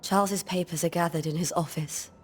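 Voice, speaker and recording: An actress playing a grieving, devout colonial wife in a video game, speaking in formal, measured, old-fashioned phrases. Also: female voice, lowish voice, steady tone, lightly acted. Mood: composed, wistful. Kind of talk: dramatic video game acting.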